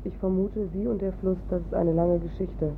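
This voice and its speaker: A woman's voice: steady tone, normal speed, vocal fry. A woman reading a book excerpt aloud in a scripted style. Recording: tinny phone-like sound, faint rumble.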